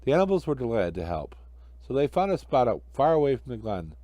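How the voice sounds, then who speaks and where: A man's voice: mid-range voice, sing-song, a little fast, dark tone, dry recording. A man narrating a children's story expressively as an amateur storyteller.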